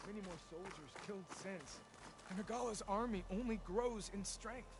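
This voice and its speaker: Distressed soldier, high voice, game scene, distant and buried in loud noise. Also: male voice, normal speed. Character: distressed soldier reporting battle losses to the crowd. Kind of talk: dramatic video game acting.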